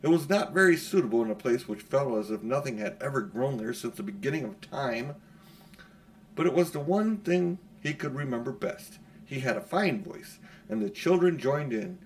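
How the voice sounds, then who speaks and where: A man's voice: medium pitch, very animated, moderate pace. A middle-aged man reading a children's storybook aloud as an audiobook narrator. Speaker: audiobook reader. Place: small furnished room at home, indoors.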